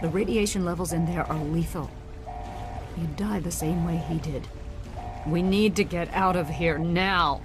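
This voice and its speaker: An actress playing an urgent, earnest scientist in a video game, speaking insistently. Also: female voice, fairly low pitch, measured pace, over music, lightly acted. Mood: shocked, urgent. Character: urgent, earnest female scientist leading an escape. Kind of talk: dramatic video game acting.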